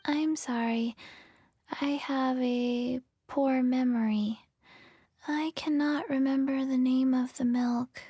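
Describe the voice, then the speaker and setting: A forgetful undead little girl from a game. Her high, childlike voice moves very slowly and softly, deadpan, in a dry studio sound. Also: husky, no echo, exaggerated voice. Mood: flat, forgetful. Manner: slow, flat deadpan. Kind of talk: video game voice acting.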